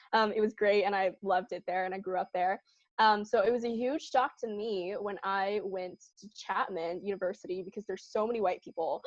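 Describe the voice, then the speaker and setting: A woman's voice: mid-range voice, a bit bright, no room sound, muffled recording. A young woman from Southern California co-hosting a podcast, talking casually with lots of fillers and laughter. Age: young adult. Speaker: podcast co-host. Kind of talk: casual chat.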